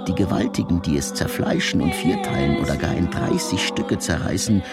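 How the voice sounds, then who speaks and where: An actor playing an impassioned orator who damns rulers like a preacher, emphatic and theatrical in a musical audio drama. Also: male voice, low voice, moderate pace, loud music behind the voice. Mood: wrathful. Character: impassioned male orator damning princes like a preacher.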